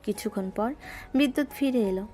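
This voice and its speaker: Woman narrating a romance in a fairly high voice. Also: a little fast, mellow. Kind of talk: scripted storytelling narration. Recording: music bed underneath.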